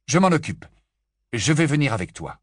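A man's voice: mid-range voice, big pitch swings, normal speed, dry recording, clean studio sound, pitched above the performer's own voice. A man voicing a brusque young man.

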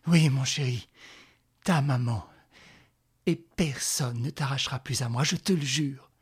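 A fierce mother in a dramatic novel, acted out by a male reader. She is urgent and commanding, defiant on behalf of her child. The voice has a husky grain. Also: mid-range voice, unhurried. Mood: protective.

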